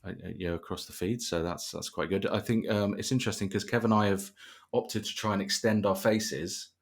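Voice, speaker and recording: A man with a very low voice hosts a relaxed podcast. He talks in a bantering, conversational way, with dry humour often aimed at himself. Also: brisk. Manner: dry, jokey, self-deprecating. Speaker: podcast host. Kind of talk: casual bantering podcast interview.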